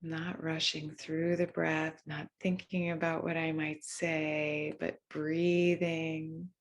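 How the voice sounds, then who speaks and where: Woman facilitator on a video call, low-ish voice with some fry, gentle and unhurried. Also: flat delivery. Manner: soft, unhurried, with frequent ums and restarts. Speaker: workshop facilitator. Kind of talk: gentle guided mindfulness talk.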